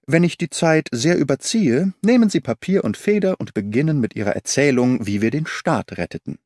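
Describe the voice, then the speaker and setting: A man voicing a brisk, confident detective who explains his reasoning eagerly, in an audiobook reading. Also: no echo, studio quality. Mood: eager explaining. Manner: animated, briskly explaining, lifted pitch.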